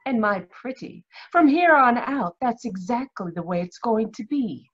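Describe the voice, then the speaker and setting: A woman playing a haughty, bitter, sharp-tongued middle-aged aunt in 1940s radio drama style, domineering and husky. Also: fairly high pitch, expressive, measured pace, dull audio. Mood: scornful anger. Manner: domineering, scornful.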